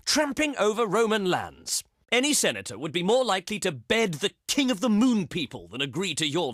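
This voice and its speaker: An actor playing a haughty, contemptuous Roman senate diplomat in a strategy game, speaking in a pompous, theatrical, oratorical way. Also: male voice, sits high, a bit bright, dry recording, clean studio sound, full character voice.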